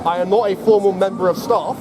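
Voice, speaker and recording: A high-pitched man from Hong Kong tells his own story in a halting, measured way. He is speaking outdoors over loud urban traffic noise. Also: steady tone. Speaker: guest speaker, honorary lecturer. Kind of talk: personal account to a street gathering. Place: outdoors in an urban public space. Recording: very noisy outdoor street with traffic.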